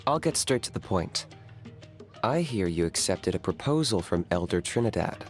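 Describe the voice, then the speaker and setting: Low-voiced, coolly blunt game character. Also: male voice, big pitch swings, over loud music. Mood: blunt, calm. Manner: matter-of-fact, unhurried, dry. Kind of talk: video game character acting.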